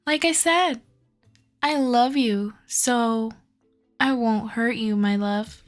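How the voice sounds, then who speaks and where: Casting: actress as lovesick female kidnapper for ASMR roleplay, high-pitched, unhurried, doting and softly controlling, music underneath. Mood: doting, reassuring. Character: obsessive, sweetly possessive young woman kidnapper in love.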